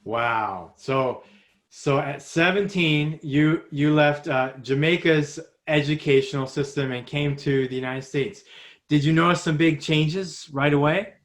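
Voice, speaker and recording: Friendly male podcast host, higher voice. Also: a little slow. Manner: friendly, asks questions with small restarts. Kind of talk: interview host.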